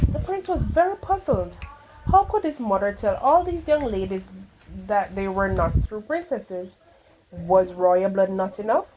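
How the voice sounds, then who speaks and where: A woman reading a children's story aloud in a careful, expressive storytelling manner, with slight stumbles. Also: mid-range voice, lo-fi sound. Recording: clearly noisy home recording.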